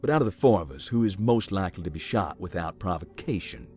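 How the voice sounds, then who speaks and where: A man playing a giant, easygoing, wry explorer in a visual novel, talking in a folksy, deadpan way. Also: low-pitched, fast talker, husky, heavily acted. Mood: wry, cautious. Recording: music behind the voice.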